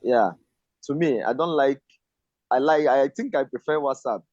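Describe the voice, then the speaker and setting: A man joins a casual video-call discussion and talks in a hesitant, searching way. His voice sits at a middle pitch. The audio is dry and muffled, like laptop call sound. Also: lively intonation, normal speed, a little mellow, no room sound. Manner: hesitant, searching for words, fillers. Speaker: panel guest.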